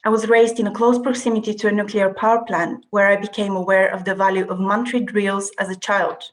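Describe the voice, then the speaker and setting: A woman's voice: medium pitch, little pitch movement. Woman with a Bulgarian accent, guest. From Bulgaria, Russia and Cyprus. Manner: measured, detailed storytelling with some hesitations. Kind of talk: conversational interview.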